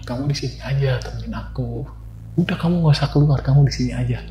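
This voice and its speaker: A man voicing a possessed young man who speaks as a clingy female spirit, hushed and pleading. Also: mid-range voice, fast talker, echoey room, a little hiss or hum, natural voice.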